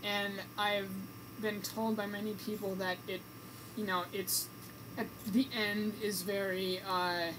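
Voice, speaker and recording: A woman's voice: medium pitch, flat delivery, slow pace. Hesitant woman co-host, noisy recording. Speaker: radio show co-host. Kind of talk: casual movie review banter.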